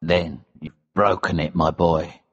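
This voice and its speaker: A man playing a grim older smuggler in storybook dialogue, with a calm, cold, deliberate manner. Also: deep voice, highs cut off, voice pushed down. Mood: grave, steady. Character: grim, vengeful older smuggler, fatherly to a boy.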